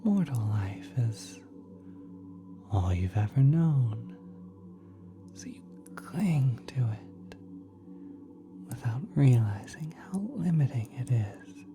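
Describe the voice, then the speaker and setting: A man voicing a kind, doting celestial being in a soft, breathy, often whispered ASMR roleplay, tender and halting. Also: mid-range voice, big pitch swings, music in the background. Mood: tender, reassuring. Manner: tender, halting, gently coddling. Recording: cosmic ambient sound effects behind.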